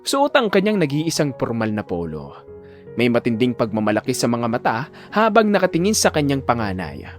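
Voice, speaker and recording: A man's voice: medium pitch, huge pitch swings, brisk, soft background music. Male narrator, dramatic and swooping. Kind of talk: dramatic scripted story narration.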